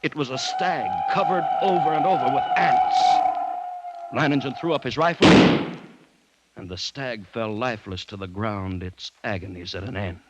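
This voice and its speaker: An actor playing a cautious, alarmed government commissioner, narrating a jungle ordeal in formal, suspenseful old-time radio drama style. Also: male voice, mid-range voice, moderate pace, dull audio, heavy background noise, lightly acted. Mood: grave dread. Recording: vintage radio recording with background music.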